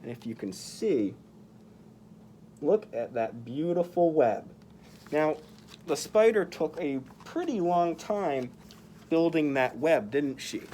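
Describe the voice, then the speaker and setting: A storyteller reads a children's picture book at an unhurried pace, with a very lively rise and fall in pitch. The delivery is animated and aimed at young listeners. Some background noise sits behind the voice. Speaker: library story-time reader dressed as Spider-Man.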